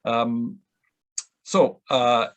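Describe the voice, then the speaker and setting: A man's voice: medium pitch, fairly even intonation, very slow, lo-fi sound. A man hosting a casual discussion on a video call, hesitant and often stammering through restarts as he passes questions around. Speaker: session host.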